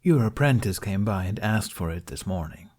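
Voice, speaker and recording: A man's voice: on the low side, expressive, normal speed, darkish tone. A man reading the part of a kindly, wry older Jedi master in a story, with dry, teasing courtesy.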